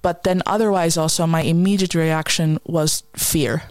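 A woman guest in a conversation, talking in an earnest, thoughtful and measured way. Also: low voice, steady tone, no room sound. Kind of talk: reflective conversation.

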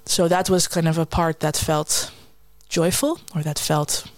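A woman guest in a conversation, talking in an earnest, thoughtful and measured way. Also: low voice, steady tone, dry recording. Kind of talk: reflective conversation.

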